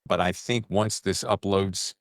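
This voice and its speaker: A middle-aged man hosting a podcast in casual interview chat, telling anecdotes in an animated way and acting out dialogue. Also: low voice, unhurried, no room sound, studio quality. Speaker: podcast host.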